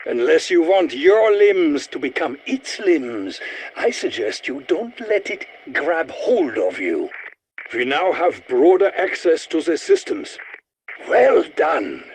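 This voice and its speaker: An eccentric scientist for a video game. His voice sits fairly high and swings widely in pitch. He speaks slowly and precisely, with pompous, sardonic, squeamish theatricality. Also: big pitch swings, a little mellow, slight background noise, exaggerated voice. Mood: dry, instructive. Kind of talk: video game character voice lines.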